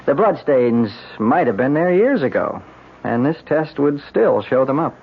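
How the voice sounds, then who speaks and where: An American man playing a calm, knowing forensic doctor in an old-time radio drama, explaining things in a measured, dry way. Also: mid-range voice, gravelly, husky, full character voice. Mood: calm, instructive. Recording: dull, noisy vintage radio recording.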